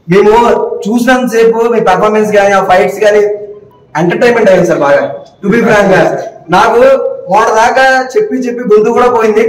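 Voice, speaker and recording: High-pitched man, echoey and noisy recording. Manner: emphatic, effusive, often shouting. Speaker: moviegoer giving a film review. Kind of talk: excited public reaction, rhyming slogans. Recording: echoey, noisy, distant from mic.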